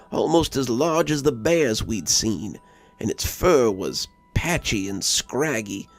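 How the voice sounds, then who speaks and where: A man narrates a scary story with a very animated delivery and a gravelly edge to his voice. The recording is dry and close, with clear music playing underneath. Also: medium pitch, dry recording. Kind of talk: dramatic scripted narration.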